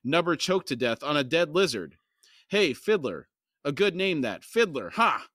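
A man voicing a brash male veteran soldier who calls out loudly and bluntly in a read-aloud fantasy story. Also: on the high side, very animated, moderate pace, no echo, studio quality. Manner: loud, blunt, calling out.

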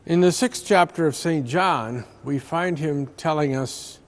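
An older man, a priest, reading a scripted reflection aloud from a book in a slow, measured way inside a large stone church. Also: on the high side.

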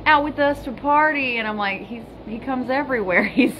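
A woman in her thirties chats and tells stories into a phone held at arm's length, loose and casual, with the odd curse word. She sits in a small hotel room. A steady hum of room noise runs under her voice. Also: sits high, brisk. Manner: chatty storyteller, swears casually. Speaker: vlogger. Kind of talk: casual chat. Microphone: phone camera held at arm's length. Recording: noisy hotel room ambience.

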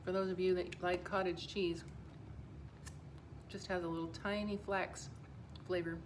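A woman's voice: lowish voice, a little hiss or hum. A woman in her fifties, a health coach, casually walking through a recipe in her home kitchen while she works, speaking into a camera on the counter. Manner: relaxed, unhurried, talks while working. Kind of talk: casual cooking demonstration. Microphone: phone or camera on the counter.

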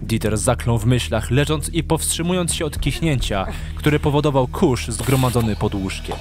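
A man narrating a scripted audio drama with measured storytelling delivery. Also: mid-range voice, music in the background.

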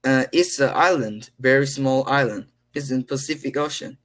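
A teenage boy who speaks English with a Korean accent, talking haltingly with many fillers while practicing on a video call from his room. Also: medium pitch, fairly bright tone. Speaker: English learner. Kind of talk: casual conversation practice. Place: indoors, small room at home.

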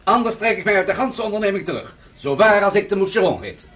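An actor playing a touchy, pompous wealthy merchant in a radio drama. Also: male voice, high-pitched, lively intonation, fast, a bit bright, far from the mic. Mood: stern, touchy.

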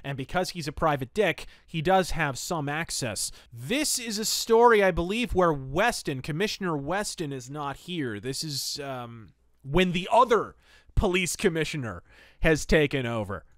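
A man's voice: a little high, lively intonation, a little slow, a bit bright, dry recording, clean studio sound. A man hosting a podcast, casually reviewing and recapping stories in a chatty, digressive way with emphatic asides. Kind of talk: casual review and plot recap of pulp fiction.

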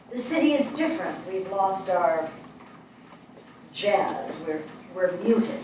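An older woman acting a grieving, resolute New Yorker in a dramatic stage reading, speaking in emphatic, deliberate short phrases. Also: fairly low pitch. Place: indoor theatre stage. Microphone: distant camera. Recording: echoey stage, distant mic, faint noise.

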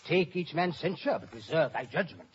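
A man's voice: fairly high pitch, lively intonation, moderate pace, close to an everyday voice. An actor playing a pompous, fussy old father and counsellor, lecturing emphatically in radio Shakespeare style. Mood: fussy, sententious. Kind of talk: 1950s radio Shakespeare acting. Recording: muffled, noisy old radio broadcast.

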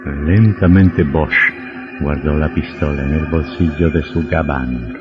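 Deep, ominous horror storyteller. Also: male voice. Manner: slow, ominous, theatrical, with cackling laughs. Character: sinister male horror host telling a macabre tale. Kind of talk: old radio horror narration. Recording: dull old radio recording with music.

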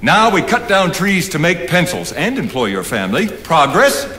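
Animated, provocative elder philosopher. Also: male voice, fairly high pitch, normal speed, exaggerated voice. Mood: challenging. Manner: eloquent, rhetorical, challenging. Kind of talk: theatrical stage acting. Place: theatre stage, indoors. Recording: reverberant theatre hall, background hiss.

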